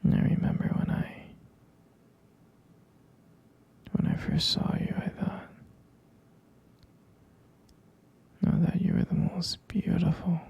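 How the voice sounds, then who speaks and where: Man playing a drowsy, gravelly, whispering vampire boyfriend. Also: sits high, lo-fi sound, voice pushed up, exaggerated voice. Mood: soft, affectionate. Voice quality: breathy, husky whisper, gravelly fry. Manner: drowsy, halting, murmured, soothing. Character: gentle, sleepy half-vampire boyfriend, tenderly reassuring. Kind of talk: whispered ASMR roleplay.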